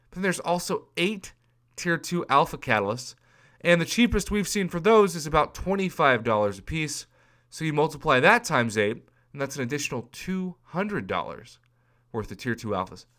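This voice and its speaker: A man in his thirties with a hoarse voice, talking casually to his viewers and working through game prices out loud with animated emphasis. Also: on the high side. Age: 30s. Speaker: mobile game YouTuber. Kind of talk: casual video commentary.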